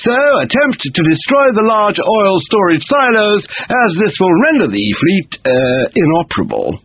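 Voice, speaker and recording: Game briefing officer: brisk, instructive, high and animated, over a radio filter. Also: male voice. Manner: formal, instructive, emphatic. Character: brisk male military commander giving mission briefings. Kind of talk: scripted mission briefing. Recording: thin phone-like radio sound, faint noise.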